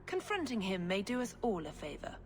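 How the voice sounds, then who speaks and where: A woman playing a composed, calculating noblewoman in a video game, speaking in a formal, measured way. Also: medium pitch, big pitch swings, a little hiss or hum. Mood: cool, formal. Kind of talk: video game dramatic acting.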